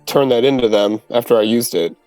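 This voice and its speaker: A man with a mid-pitched voice chats as a player in a tabletop game. He is questioning and haggling, recorded close and dry with faint music behind. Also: brisk, warm tone, no room sound, poor audio. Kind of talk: casual in-game chat.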